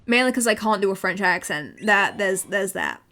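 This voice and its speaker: A woman's voice: medium pitch, expressive, moderate pace, pushed and bright. English accent, young woman, casual streamer talk with repeats and fillers, light background noise. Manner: chatty, repeats words, many fillers. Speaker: VTuber streamer. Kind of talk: casual livestream chat.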